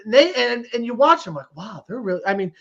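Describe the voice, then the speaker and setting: Fast, high-voiced man talking sports. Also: big pitch swings, gravelly, a bit bright, dull audio. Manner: emphatic, exclamatory, repeats himself for emphasis. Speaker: sports podcast co-host. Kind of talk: casual sports talk, commentary.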